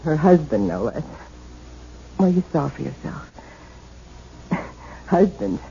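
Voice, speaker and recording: An actress playing a composed, confiding sister in a 1970s radio drama, polite, discreet and explanatory. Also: female voice, low-pitched, very animated, takes it slowly, vocal fry, dark tone, full character voice. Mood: confiding, dismissive. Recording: muffled, hissy vintage radio broadcast.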